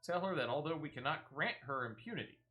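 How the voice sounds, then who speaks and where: A man's voice: on the high side, lively intonation, quick pace, lo-fi sound, close to an everyday voice. A man voicing a stern, dutiful guard officer from a fantasy video game, with formal and earnest phrasing. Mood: earnest, grave. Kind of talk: video game dialogue read aloud.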